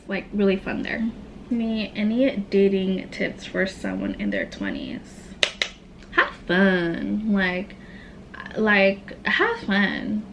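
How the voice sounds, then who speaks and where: A woman's voice: medium pitch, background noise. A woman in her late twenties from Tacoma, Washington, chatting candidly during a makeup Q&A, full of "like" and slang. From Tacoma, Washington, USA. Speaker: beauty vlogger. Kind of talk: casual get-ready-with-me Q&A chat.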